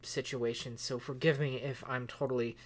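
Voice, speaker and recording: A woman acting an exasperated, volatile character in an audio drama, pleading in halting, stammering, emphatic speech. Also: very deep voice, level tone. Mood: anxious, pleading.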